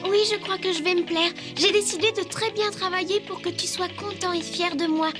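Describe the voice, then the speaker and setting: A woman's voice: very high-pitched, steady tone, fairly quick, over music. A woman voicing a sweet, dutiful little girl in a dubbed cartoon, polite and earnest.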